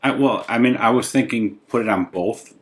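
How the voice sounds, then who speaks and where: A man's voice: mid-range voice, moderate pace. A man hosting a podcast in a casual, jokey, self-deprecating chat with his friend. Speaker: podcast host.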